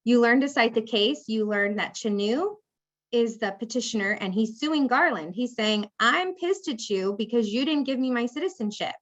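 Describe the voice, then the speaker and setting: A woman's voice: on the high side, animated, normal speed, lo-fi sound. A woman law professor giving a conversational classroom lecture, acting out example speakers as she explains. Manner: conversational, acts out hypothetical speakers.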